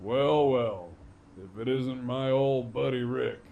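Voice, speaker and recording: A man's voice: medium pitch, takes it slowly, vocal fry, phone-quality sound. A man playing a sly, cocky captive villain in an audio drama, with a harsh, scratchy voice and a sardonic drawl. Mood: mocking. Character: sly cocky imprisoned male villain with damaged throat.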